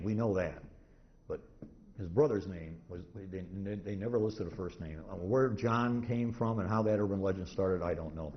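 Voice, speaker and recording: A man giving an informal, rambling lecture to an audience, halting and restarting often as he goes. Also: low-pitched. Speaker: convention presenter, radio historian. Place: indoor convention talk room. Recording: muffled, noisy live convention room recording.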